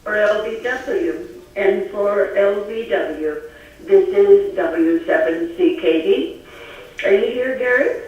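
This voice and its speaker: A woman's voice: mid-range voice, slow pace, darkish tone, highs cut off. A woman with an American accent chatting casually over two-way radio as an amateur operator. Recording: very echoey, distant radio link.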